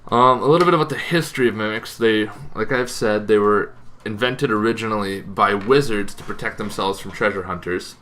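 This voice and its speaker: Bright-voiced man, casual gaming explainer. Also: lowish voice, fairly quick, slight background noise. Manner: informal, self-correcting, hedging asides. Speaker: host of a tabletop gaming channel. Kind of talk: casual explainer on game monsters, semi-improvised.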